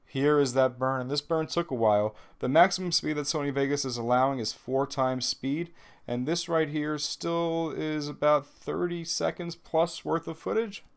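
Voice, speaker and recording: A man's voice: fairly high pitch, steady tone, moderate pace, gravelly, smooth. A man with a Brooklyn accent, a little nasal from a cold, giving chatty, self-deprecating voice-over commentary on his gameplay. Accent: New York (Brooklyn). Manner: chatty, self-deprecating, rambling with ums and restarts. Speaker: gaming video host.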